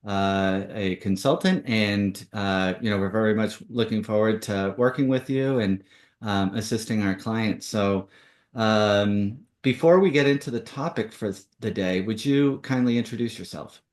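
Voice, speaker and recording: A man's voice: lowish voice, unhurried. A man hosting a podcast, moving between a scripted introduction and interview questions, recorded with a roomy echo. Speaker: podcast host. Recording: roomy, echoing voice.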